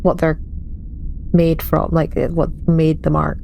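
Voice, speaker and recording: A woman's voice: low voice, little pitch movement, measured pace, vocal fry, dark tone, slight background noise. A woman playing a tabletop role-playing game, improvising in a hesitant, tentative way. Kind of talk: improvised in-character tabletop play.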